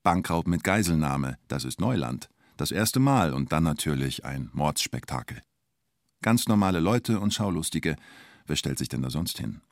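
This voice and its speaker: An actor playing a wry, colloquial storyteller in a radio drama, speaking in clipped present-tense phrases. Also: male voice, rumbling low, very animated, normal speed, no room sound, clean studio sound, full character voice. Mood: wry, observing. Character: male storyteller recalling the bank siege, wry and colloquial.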